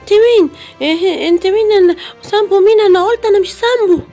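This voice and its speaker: A high, rapid young lion cub played by a woman speaks in Egyptian Arabic. The delivery is childlike and exclamatory, as in a children's cartoon story, with music underneath. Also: warm tone. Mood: confused. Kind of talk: animated children's story, acting all characters.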